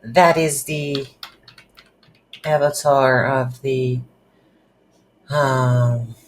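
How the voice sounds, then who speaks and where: Slow, low-pitched woman teaching software. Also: little pitch movement, slight background noise. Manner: halting, thinking aloud while working. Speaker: game development tutorial host. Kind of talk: casual screen-recorded software tutorial.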